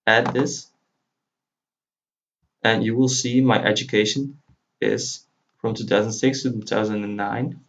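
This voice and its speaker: Low, monotone Dutch man giving a tutorial. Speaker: software tutorial presenter. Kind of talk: informal screen-recorded tutorial walkthrough. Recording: dull lo-fi mic, faint noise. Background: computer keyboard typing and clicking.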